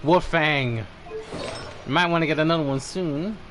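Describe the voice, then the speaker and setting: A man's voice: fairly high pitch, moderate pace, fairly bright tone, slight background noise. A man commentating casually on a live game stream as its host, swearing often. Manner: frequent swearing. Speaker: streamer reviewing game characters.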